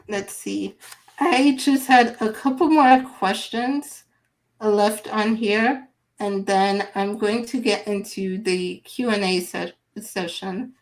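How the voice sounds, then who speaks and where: Woman livestream host, slow and halting, gravelly fry in the voice. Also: medium pitch, low-quality recording. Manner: unhurried, reads viewer chat aloud, halting. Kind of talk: hosting a live online interview.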